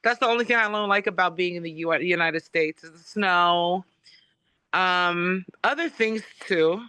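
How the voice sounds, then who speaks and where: A woman from Samoa chats on a podcast in a bright, crisp and smooth voice. She talks in an effusive, spiritual way, full of "um" and "you know". The sound is close and dry. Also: on the low side, unhurried, dry recording. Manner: warm, effusive, spiritual talk with frequent fillers. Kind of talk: casual conversation.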